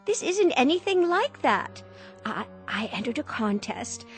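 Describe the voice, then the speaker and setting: An actress playing a sweet, eager, long-suffering older wife in a radio drama, solicitous and earnestly pleading. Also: female voice, high voice, sing-song, measured pace, soft background music. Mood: earnest, excited.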